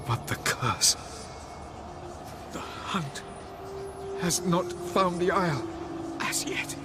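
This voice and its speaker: An actor playing a frail, cryptic elf sage near death in a fantasy game, speaking in weak, halting, fading gasps. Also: male voice, high voice, expressive, full character voice. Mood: fading, urgent. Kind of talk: dramatic video game voice acting. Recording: loud music over dialogue.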